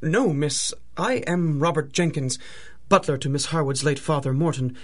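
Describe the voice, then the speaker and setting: An actor playing a formal, deferential manor butler with polite, measured delivery in a 1930s-style radio drama. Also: male voice, on the high side, a bit bright. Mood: polite, concerned.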